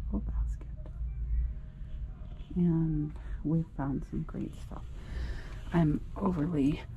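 A woman quietly narrating her shopping trip for a reseller vlog, half whispering as she browses an antique mall. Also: sits low, creaky, dull audio, background noise. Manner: hushed, half-whispered remarks while browsing. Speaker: reseller vlogger. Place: indoors in a large antique mall. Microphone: body-worn action camera.

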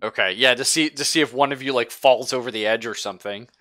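A man's voice: medium pitch, sharp tone, a little hiss or hum. A man narrating a casual tabletop game as game master, jokey and slangy. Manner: jokey, slangy, quick to laugh.

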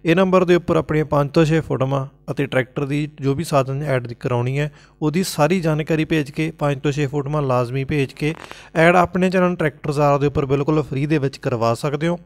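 A man with a Punjabi accent announcing the channel helpline, explaining how to send ads and repeating the number. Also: on the high side. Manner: quick, instructional, repeats the number. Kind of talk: promo announcement.

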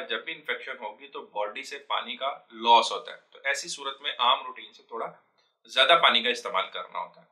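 A man in his thirties, a doctor, calmly explaining treatment to camera in a small indoor studio. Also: medium pitch, rough recording. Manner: calm, steady, instructive.

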